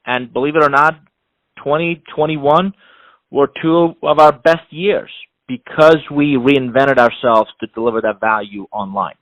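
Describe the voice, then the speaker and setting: A middle-aged man from Iran, a non-native English speaker, giving measured, explanatory answers as an interview guest, often building his points in repeated phrases. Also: medium pitch, fairly bright tone, no room sound, rough recording. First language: not English. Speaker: interview guest, executive coach and author.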